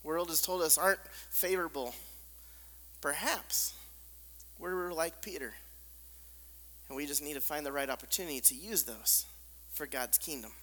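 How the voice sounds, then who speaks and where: A man with a squeaky voice preaching a sermon, hammering points through repetition and dropping to soft, hushed lines. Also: normal speed, long pauses. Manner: emphatic repetition, drops to soft near-whispers. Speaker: pastor. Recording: long reverb of a large hall.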